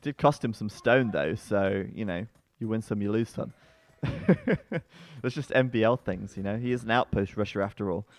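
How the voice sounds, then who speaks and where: Chatty low-voiced male game caster. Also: moderate pace, no room sound. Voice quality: bassy-sounding voice. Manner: chatty, breaks into excited outbursts. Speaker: esports commentator. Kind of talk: live game commentary.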